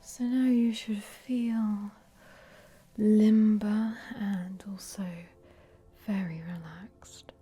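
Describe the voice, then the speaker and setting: A woman voicing an easygoing, cheeky meditation guide in a breathy ASMR whisper, with gentle, rambling instructions. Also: mid-range voice, fairly even intonation, very slow, exaggerated voice. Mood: soothing, gently cheeky. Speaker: ASMR host leading a relaxation session.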